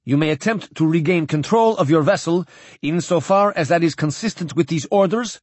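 A cold, commanding admiral of a space navy, acted by a male audiobook reader. He speaks in formal, clipped phrases, pitched fairly high and unyielding. The recording is dry and close. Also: normal speed, no echo, close to an everyday voice. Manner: flat, formal, clipped diction. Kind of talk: audiobook character dialogue.

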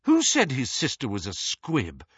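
A man's voice: medium pitch, wildly swooping pitch, measured pace, husky, dry recording, lo-fi sound, voice pushed up, heavily acted. A man voicing an earnest teenage boy in a storybook, puzzled and insistent.

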